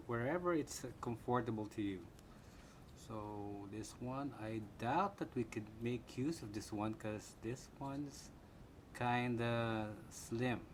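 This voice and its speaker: A man with a Filipino accent giving a casual, halting unboxing commentary, thinking aloud as he goes. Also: mid-range voice. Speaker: product reviewer. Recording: clear background room noise.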